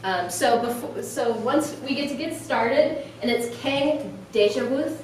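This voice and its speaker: A woman with a fairly high voice welcomes a group as host and introduces a speaker. She is heard from some distance in a very echoey room, with faint noise. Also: measured pace, darkish tone. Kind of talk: welcome speech, partly read introduction. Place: indoor meetup room.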